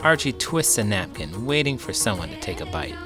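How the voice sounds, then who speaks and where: A low-pitched American man reads a children's storybook with theatrical flair. His delivery swings widely in pitch, and clearly audible music plays behind him. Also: brisk. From Iowa, United States. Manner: animated, theatrical. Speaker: children's book read-aloud storyteller and teacher. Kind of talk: expressive children's storybook narration with commentary.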